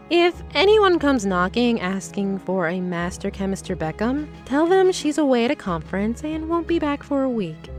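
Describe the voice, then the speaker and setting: An actress playing a shrewd, determined female lab assistant in a fantasy audio drama, assertive, persuasive and businesslike. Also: on the high side. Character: shrewd, determined woman lab assistant, street-smart schemer.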